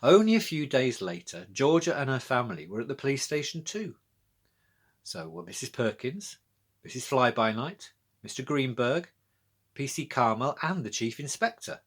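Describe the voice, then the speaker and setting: A man's voice: medium pitch, big pitch swings, normal speed. A British man narrating a scripted children's storybook as its author, reading aloud.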